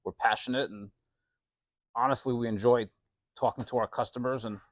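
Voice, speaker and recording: A man's voice: mid-range voice, steady tone, moderate pace, no room sound, studio quality. Plain-spoken man in his late forties. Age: late 40s. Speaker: cigar shop owner, interview guest. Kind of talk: casual chat.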